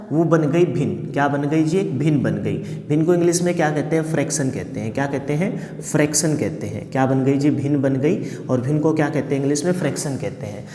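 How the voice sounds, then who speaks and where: A male math teacher with a slightly hoarse throat, giving a classroom lesson and answering his own questions while he repeats key points. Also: a little high, darkish tone. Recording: echoey room with long reverb.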